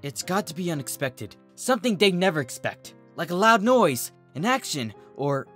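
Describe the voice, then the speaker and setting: A narrator puts on a mischievous little dragon boy from a cartoon. He is theatrical and scheming, bright in tone, with big swings in pitch and music behind. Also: sing-song, normal speed. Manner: theatrical, exaggerated.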